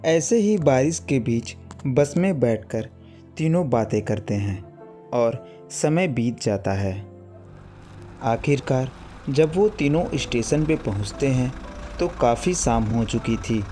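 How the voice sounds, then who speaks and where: A man's voice: mid-range voice, lively intonation, slow pace, mellow, soft background music. A man narrating a cartoon horror story in a dramatic scripted style.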